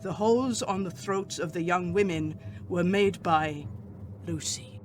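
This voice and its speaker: An actor playing a grave, earnest older doctor and vampire hunter in a radio drama, speaking in a solemn, formal, deliberate way. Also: male voice, high voice, faint music behind. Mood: grave, urgent.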